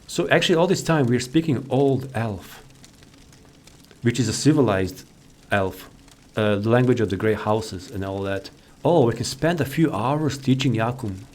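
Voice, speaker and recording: Guy chatting thru a video game, kinda rambly thinking out loud, bit of noise on the mic. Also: medium pitch, expressive, moderate pace. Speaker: video host, gamer. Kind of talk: casual gameplay commentary.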